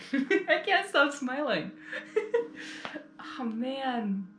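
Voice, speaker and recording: A woman's voice: high-pitched, sing-song, extremely slow, a bit bright, slight background noise. A woman hosting a casual reaction video, rambling and exclamatory, often repeating her phrases. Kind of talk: casual emotional reaction commentary. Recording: roomy sound with faint music. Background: faint music.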